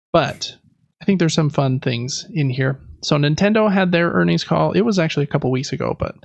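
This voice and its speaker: A man's voice: fairly high pitch, creaky, no echo, muffled recording. A man co-hosting a podcast, talking through news casually in an informal, rambling, self-correcting way. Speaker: podcast co-host. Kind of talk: casual gaming news chat.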